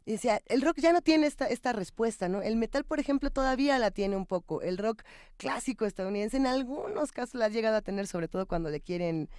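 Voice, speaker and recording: A woman's voice: fairly high pitch, expressive, fast, a little mellow, no room sound, studio quality. A woman with a Mexican accent co-hosting a magazine show, giving discursive, conversational commentary and often checking for agreement with tag questions. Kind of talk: conversational on-air music commentary.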